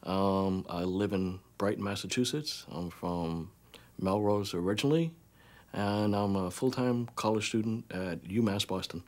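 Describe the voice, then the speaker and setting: Young male college student with a somewhat low voice who hesitates as he introduces himself. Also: fairly even intonation, a little slow, a little mellow. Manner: hesitant, with fillers. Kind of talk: self-introduction.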